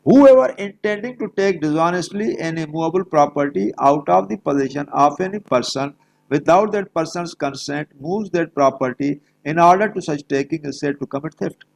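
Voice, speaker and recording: A man's voice: a little high, drawn-out words, creaky, rough recording. A man with a Pakistani accent giving an explanatory law lecture, repeating key phrases emphatically and mixing in English legal terms. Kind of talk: explanatory lecture mixed with reading legal text.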